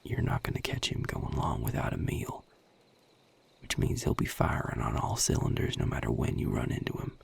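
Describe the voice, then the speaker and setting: A world-weary, level-headed male vampire with a Southern US drawl, acted by a man. His voice is heavily fried and very husky, with pitch that swings widely beneath the hush. He talks in measured, protective, plain-spoken phrases, as intimate audio drama addressed straight to the listener. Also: big pitch swings, full character voice. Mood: grave warning. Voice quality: breathy, gravelly low drawl. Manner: measured, hushed, plain-spoken with deliberate pauses.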